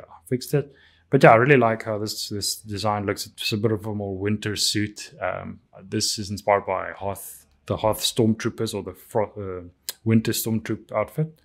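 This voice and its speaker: A man whose first language is Afrikaans casually talks through his drawings, with many ums and restarts. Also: on the low side. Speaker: artist reviewing his drawings. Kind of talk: casual narration over artwork.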